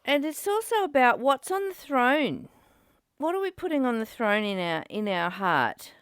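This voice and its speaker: A woman's voice: fairly high pitch, sing-song, moderate pace, clear, resonant tone, no room sound, studio quality. A woman hosting an informal spiritual study talk, speaking earnestly and posing rhetorical questions. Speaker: host of a spiritual study session. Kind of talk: informal spiritual teaching and discussion.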